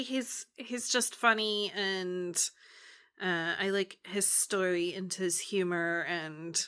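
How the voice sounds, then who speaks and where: A slow, easygoing woman in her thirties, talking casually to her camera at home. Her voice is bright but hoarse and raspy from a day of cheering. She rambles comfortably, with plenty of fillers. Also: mid-range voice. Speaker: vlogger, homeschooling mom. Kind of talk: casual vlog chat. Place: at home, kitchen. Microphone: handheld camera.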